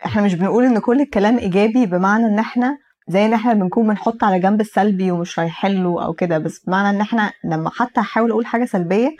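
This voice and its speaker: An Egyptian woman in casual podcast conversation. She speaks in quick bursts and restarts words as she thinks aloud. Her voice sits at an average pitch. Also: a little mellow, highs cut off. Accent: Egyptian Arabic. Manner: reflective, restarts words when searching for them. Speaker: podcast co-host.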